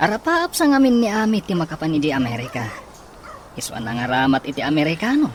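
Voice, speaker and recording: A man's voice: fairly high pitch, sing-song, fast. An actor playing a brotherly man consoling his heartbroken buddy in a radio drama. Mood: teasing. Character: male drinking buddy consoling a heartbroken friend. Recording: noisy, with ambient sound.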